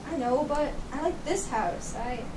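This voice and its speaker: A reluctant, uneasy teenage girl, acted by a woman, has a high, youthful voice. It is radio play acting in an echoey room, a little away from the mic. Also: measured pace, recorded from a distance, background noise. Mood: sulky. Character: reluctant, uneasy fourteen-year-old girl.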